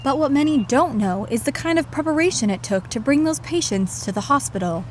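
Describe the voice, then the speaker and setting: A woman reporting scripted television news. Her voice sits on the high side, and she delivers each line in a measured, emphatic reporter style. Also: expressive, a little hiss or hum.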